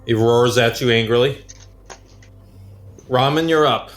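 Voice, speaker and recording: A man's voice: medium pitch, a little slow, a little hiss or hum. A man running a tabletop game, narrating action and explaining rules in a casual, conversational way. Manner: informal, conversational. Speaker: game master.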